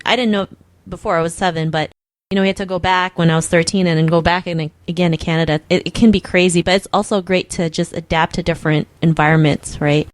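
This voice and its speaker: A chatty woman hosting an interview, sprinkling her questions with fillers and upbeat affirmations. Her voice sits a little low and sounds dry and close, with no room around it. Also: dry recording. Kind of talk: casual conversational interview.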